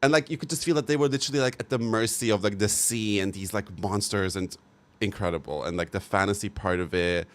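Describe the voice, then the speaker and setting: A man's voice: on the low side, quick pace. A young man talking casually to his livestream viewers, gushing and frank, with many fillers and repeats. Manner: chatty, frank and sometimes profane. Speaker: livestream host.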